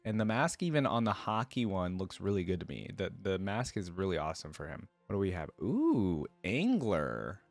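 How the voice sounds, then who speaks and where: A man's voice: low voice, sing-song, normal speed, hardly any pauses, faint music behind. A man hosting a reaction video, chatting casually to viewers and thinking aloud as he comments. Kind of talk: casual commentary, reacting to game music and artwork.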